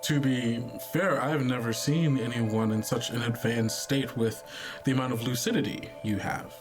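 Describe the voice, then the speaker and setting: A man playing a polite, condescending overseer of a sinister program in an actual-play game, speaking formally and smoothly. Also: medium pitch, normal speed, music in the background, close to an everyday voice. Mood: amused, patronizing. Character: polite, condescending head of a sinister rehabilitation service.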